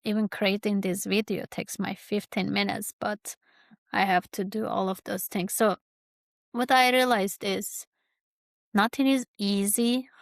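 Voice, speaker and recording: A woman speaks slowly and haltingly, stopping often to look for the right words. Her voice has a slightly gravelly edge, and the recording is dry and close, with no sense of the room. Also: mid-range voice, steady tone, dry recording. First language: Kurdish. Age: 30s. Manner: halting, searching for words, many fillers. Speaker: podcast host. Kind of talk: reflective personal monologue.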